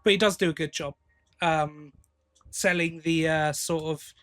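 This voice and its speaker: A man with a fairly high-pitched voice talks casually as a podcast co-host reviewing a story. He speaks haltingly, pausing to think aloud and rephrase as he goes. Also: steady tone, a bit bright.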